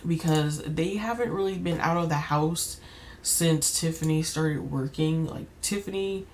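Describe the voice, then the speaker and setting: A young woman with a slightly raspy voice, chatting casually while playing a life-sim game, rambling with many fillers and restarts. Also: deep voice, unhurried, a little mellow, a little hiss or hum. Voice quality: slightly raspy, keeps clearing throat. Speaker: gaming YouTuber. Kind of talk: casual gameplay commentary.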